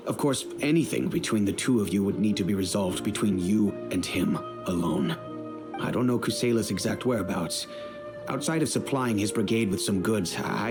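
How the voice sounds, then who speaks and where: An actor playing a middle-aged, remorseful wealthy merchant and father in a video game, speaking in a measured, earnest, formal way. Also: fairly low pitch, close to an everyday voice. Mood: resigned, helpful. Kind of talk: dramatic video game dialogue.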